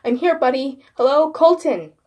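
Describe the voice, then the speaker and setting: Lady doing a grieving schoolboy in a creepypasta, yelling all urgent and frantic, pitched way up. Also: normal speed, lightly acted. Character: frantic grieving schoolboy calling to his brother.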